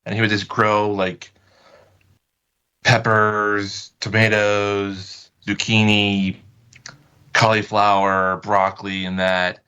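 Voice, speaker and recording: Hesitant man with a bright, lowish voice. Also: level tone, takes it slowly, smooth. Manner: hesitant, informal, feeling for words. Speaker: podcast guest. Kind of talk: casual interview chat.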